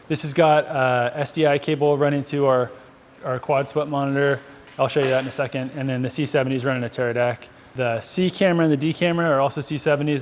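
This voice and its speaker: Long hall reverb, man, medium pitch, informal gear reviewer, conversational, unscripted, slangy. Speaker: video producer and gear reviewer.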